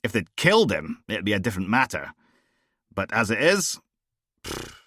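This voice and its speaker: A man voicing a brash, crude middle-aged woman who runs a police team, loud and mocking. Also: fairly low pitch, wildly swooping pitch, normal speed, no room sound, clean studio sound, exaggerated voice. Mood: scornful.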